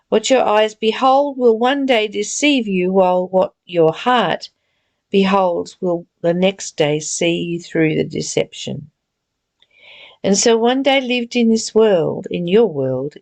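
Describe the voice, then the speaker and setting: A woman giving a reflective spiritual reading and teaching in an earnest, deliberate, gently exhorting way. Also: medium pitch. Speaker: reader and spiritual study teacher. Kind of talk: read-aloud spiritual text with reflective, informal commentary.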